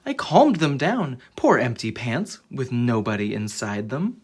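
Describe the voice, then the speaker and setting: A children's story reader with a husky, slightly rough voice. The delivery is dramatic and highly animated, swinging up and down in pitch as a storybook is read aloud. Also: unhurried.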